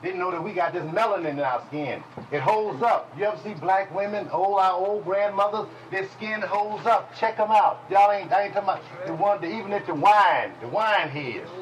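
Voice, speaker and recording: Man, preaching teacher, fairly high voice, faint hiss. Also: moderate pace, hardly any pauses, rough recording. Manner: folksy call-and-response preaching, drops to low murmurs. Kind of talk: preaching Bible lesson. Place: congregation hall.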